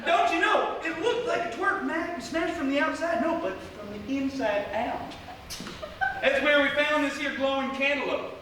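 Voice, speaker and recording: A man acts out a folksy country farmhand in a radio comedy. The voice is very high and bright, and he rambles in a halting, colloquial way. It is picked up from a distance in a very echoey theatre. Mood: folksy, earnest. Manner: colloquial, halting, long-winded. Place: live theatre stage before an audience. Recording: echoey theatre stage, distant mic, faint noise.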